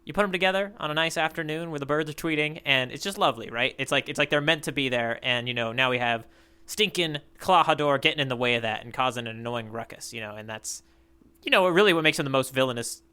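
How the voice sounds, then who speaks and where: Bright, smooth-voiced man chatting. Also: mid-range voice, quick pace. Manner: rambling, many fillers and restarts. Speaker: podcast co-host. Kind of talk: casual chat.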